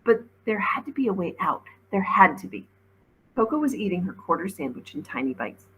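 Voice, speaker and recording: A woman's voice: medium pitch, very animated, a little fast, fairly bright tone, breathy edge, low-quality recording. A woman, a teacher, reading a children's novel aloud as narrator. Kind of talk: storybook read-aloud narration.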